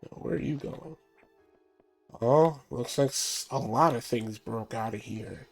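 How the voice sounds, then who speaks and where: A man's voice: medium pitch, a little slow, creaky, a little mellow, soft background music. A man streaming a game with casual commentary, stuffy from a cold, apologetic and thinking aloud as he plays.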